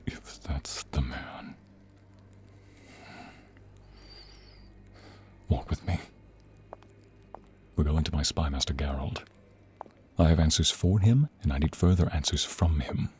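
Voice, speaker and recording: A man playing a commanding, protective king in a dramatic audio role play, formal and regal in his way of talking. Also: very deep voice. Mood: hushed, tender.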